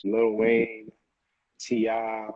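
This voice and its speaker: A man's voice: on the low side, completely flat delivery, drawn-out words, dry recording. A man, talking casually as an interview guest in slangy, repetitive phrasing, on a dull lo-fi recording. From Honduras, moved to the Bronx at eight. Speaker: music producer, interview guest.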